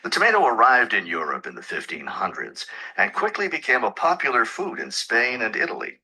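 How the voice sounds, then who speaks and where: A man's voice: medium pitch, wildly swooping pitch, a little fast, pushed and bright, heavily acted, rough recording. A man playing an informative lecturer, reading a scripted academic talk in an instructive, emphatic way for a listening test. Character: informative lecturer explaining food history.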